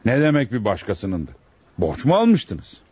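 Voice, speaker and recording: An actor playing a stern, impatient judge who questions with authority in a radio drama, his voice husky. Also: fairly low pitch, huge pitch swings, slow, darkish tone, dry recording, exaggerated voice. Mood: probing. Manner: authoritative, interrogating. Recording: dull, roomless lo-fi radio recording.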